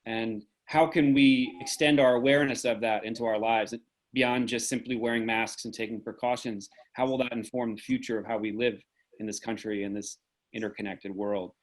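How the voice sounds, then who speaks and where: A man moderating a discussion over a video call, speaking in a measured, earnest and thoughtful way. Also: medium pitch, fairly even intonation, rough recording.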